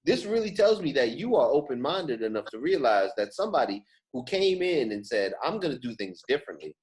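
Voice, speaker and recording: Male podcast host, medium-low everyday pitch, lively range, casual slangy chat, emphatic delivery. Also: fairly quick, rough recording.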